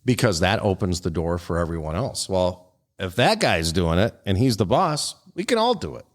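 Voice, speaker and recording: A man's voice: low voice, very animated, normal speed. A man co-hosting a podcast, presenting a segment and reading aloud with casual comment. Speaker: podcast co-host.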